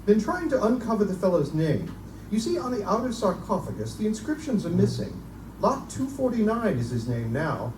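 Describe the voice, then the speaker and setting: A man playing an eccentric, erudite Victorian gentleman, pompous and musing, in a live reading of gothic horror. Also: sits high, big pitch swings, moderate pace. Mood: musing, fascinated. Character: eccentric, erudite Victorian Oxford gentleman obsessed with Egyptian relics. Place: live performance in a bookstore. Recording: echoey, distant live recording, faint noise.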